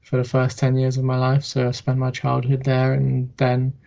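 A young man from Hungary raised in England, a journalist guest on an interview podcast, who speaks haltingly with many fillers and restarts. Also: medium pitch, monotone, vocal fry, warm tone, highs cut off. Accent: English. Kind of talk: casual interview chat.

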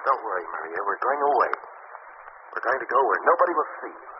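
A man's voice: medium pitch, big pitch swings, fast talker, lightly acted. An actor playing a sinister male fake swami who turns out to be a killer, curt and evasive, in 1930s radio drama acting. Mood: grim. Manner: curt, evasive, then urgent. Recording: lo-fi noisy old radio recording.

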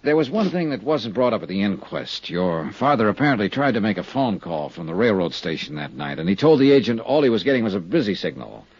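Hardboiled american radio detective, tired and dry, really animated pitch, dull old 50s broadcast sound. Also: male voice, brisk, hardly any pauses, husky, dry recording, heavily acted. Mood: gentle probing. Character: hardboiled, world-weary male insurance investigator. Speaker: radio drama lead and narrator. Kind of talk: 1950s radio drama acting. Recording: muffled vintage 1950s radio recording.

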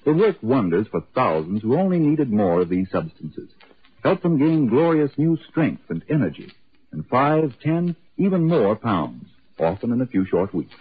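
An American man announcing for 1940s radio in a formal, deliberate, emphatic scripted style. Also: mid-range voice, very animated, slightly rough. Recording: tinny 1940s radio broadcast.

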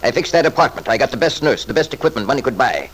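A man's voice: medium pitch, sing-song, rapid-fire, fairly bright tone, husky, rough recording. An actor playing a selfish, scornful wealthy father in an old-time radio drama, blustering and sarcastic. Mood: defensive.

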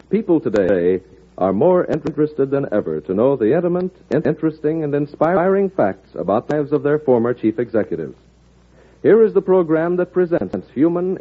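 A man's voice: mid-range voice, breathy edge. An American man giving an earnest, oratorical scripted introduction in 1940s radio style. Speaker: radio program presenter. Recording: tinny vintage radio recording.

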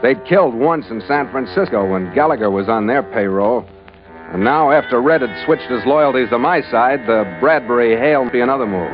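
A muffled vintage radio recording with music playing. A male actor plays a tough ship's master in 1940s radio drama style, middling in pitch. He is wary and hard-boiled, giving his account in short, clipped phrases. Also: lively intonation, moderate pace, close to an everyday voice. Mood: tense, recounting. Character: tough, suspicious male sea captain, gruff and wary. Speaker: lead actor and narrator. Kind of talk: 1940s radio acting, first-person narration of a ship's log.

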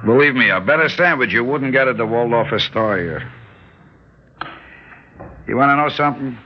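An actor playing a weary older delicatessen owner in a radio drama, wry and politely resigned. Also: male voice, medium pitch, moderate pace, close to an everyday voice. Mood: wry, dry. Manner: wry, resigned, politely deadpan, inverted phrasing. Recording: dull, hissy old radio recording.

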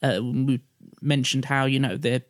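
A man's voice: mid-range voice, flat delivery, a little slow, dry recording, clean studio sound. A man in a casual podcast discussion, talking in a rambling way with lots of hesitant asides. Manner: hesitant, rambling, frequent you-know and like. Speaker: podcast panelist.

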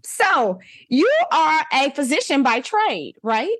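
A woman's voice: high-pitched, sing-song, slow, a bit bright, no echo. A woman hosting a podcast, upbeat and folksy, often calling out to her listeners. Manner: upbeat, folksy, says "y'all" a lot. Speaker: podcast host. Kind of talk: casual podcast interview.

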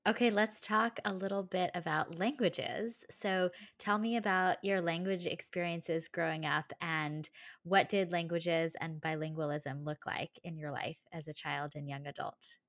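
A woman with a somewhat low voice hosts an interview, guiding it with short, gentle questions. Her voice is recorded close and dry, with no room echo. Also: fairly even intonation, a little slow. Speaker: podcast host, interviewer. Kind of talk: conversational interviewing.

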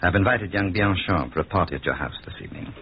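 An actor playing a cold, calculating villain in a 1940s radio drama, with a husky, rough voice. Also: male voice, deep voice, quick pace. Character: cold, calculating male schemer, smooth villain. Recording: muffled old radio recording.

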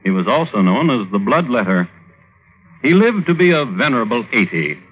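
An actor playing a droll crime historian, narrating as host in a wry, deadpan 1950s radio style. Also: male voice, medium pitch, normal speed, husky. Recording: phone-like old radio, music behind.

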